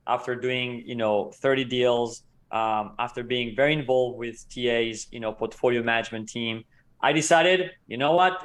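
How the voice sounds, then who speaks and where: A man in his thirties who grew up in Israel, a company co-founder telling his story as an interview guest in a measured, explanatory way. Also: mid-range voice, a bit bright. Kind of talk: casual interview talk.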